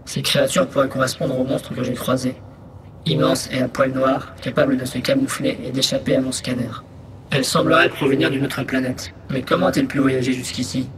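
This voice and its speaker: A man playing a small, methodical exploration robot in a sci-fi audio drama, speaking in a measured, matter-of-fact way. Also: medium pitch, little pitch movement, background noise, close to an everyday voice. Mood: analytical, curious. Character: small exploration robot, methodical, dutiful scout reporting findings. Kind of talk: scripted sci-fi audio fiction monologue.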